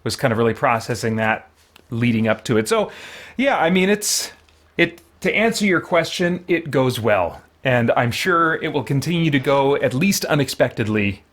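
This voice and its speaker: A man hosting a livestream in a casual, rambling, thoughtful way, often pausing mid-sentence. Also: mid-range voice, animated, a bit bright. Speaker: livestream host.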